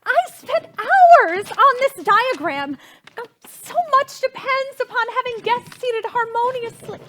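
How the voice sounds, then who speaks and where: A woman's voice: extremely high pitch, lively intonation, takes it slowly, very noisy, heavily acted. An actress playing a fussy, imperious society mother and hostess in a stage comedy, haughty, exasperated and theatrical. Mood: flustered, irritated.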